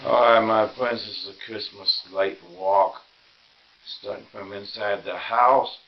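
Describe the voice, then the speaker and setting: A tired, sore man gives a rambling, halting, casual narration as he hosts a home vlog. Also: fairly low pitch, steady tone, muffled recording. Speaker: vlog host. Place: inside a house, walking through rooms.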